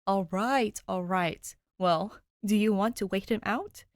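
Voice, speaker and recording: A woman's voice: medium pitch, takes it slowly, dry recording, clean studio sound, natural voice. A woman voicing an easygoing, amused young man in an audiobook, playful and a little patronizing. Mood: teasing. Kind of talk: audiobook character voice, fan fiction reading.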